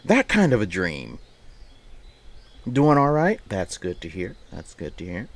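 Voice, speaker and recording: A man's voice: low voice, wildly swooping pitch, measured pace. A man livestreaming, casually chatting with viewers and reading aloud in a rambling, playful way that often repeats phrases. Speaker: live streamer.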